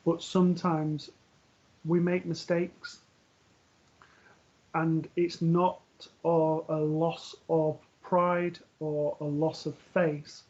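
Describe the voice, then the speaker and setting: A man working as a medium, giving a live reading in a gentle, reassuring way, often pausing with ums and restarting sentences. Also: a little high, little pitch movement. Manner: hesitant, full of ums and restarts. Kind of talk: live-streamed spiritual reading. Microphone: earpiece for live broadcast. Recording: dull lo-fi stream audio.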